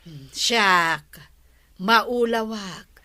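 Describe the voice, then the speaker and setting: A woman playing a weary, nagging village woman in a comic radio drama, with drawn-out, exasperated delivery. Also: mid-range voice, pushed and bright, no room sound, studio quality. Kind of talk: comedic radio drama acting.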